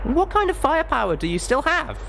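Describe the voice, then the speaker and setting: A man voicing a young female unicorn pony adventurer, excitable and sardonic, in an audiobook. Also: very high voice, brisk, creaky, slight background noise, pitched above the performer's own voice, heavily acted. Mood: urgent. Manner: exclamatory, sardonic. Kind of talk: audiobook character dialogue.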